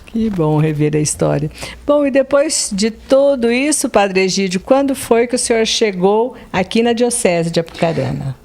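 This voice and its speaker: A woman's voice: medium pitch, expressive, moderate pace, vocal fry, darkish tone. A woman interviewing an elderly priest, asking polite and respectful questions.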